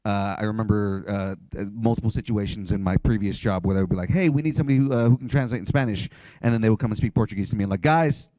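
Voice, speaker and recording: A man hosts a relaxed interview, easygoing and talkative. His voice sits on the low side. The recording is close and dry. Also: fast talker, few pauses, dry recording. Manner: chatty, friendly, many fillers. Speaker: podcast host. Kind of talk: casual interview. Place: comic convention.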